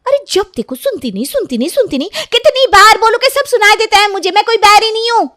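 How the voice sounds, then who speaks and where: Lady doing bratty irritated young daughter in a story, whiny back-talk, really high voice. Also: flat delivery, fast talker, dry recording, clean studio sound, close to an everyday voice. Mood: irritated, defiant.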